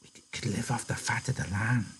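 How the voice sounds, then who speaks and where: A man's voice: fairly high pitch, brisk, pushed and bright, slight background noise, voice pushed up. A man voicing a simple-minded, childlike farmhand who stammers and repeats his words eagerly.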